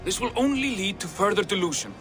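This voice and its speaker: A careworn, blunt son in a video game scene. He sounds exasperated and gruff, speaking high for a man with clear music behind. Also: animated, fast talker, fairly bright tone. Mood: weary. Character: gruff, weary son tending his senile father. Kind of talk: video game cutscene acting.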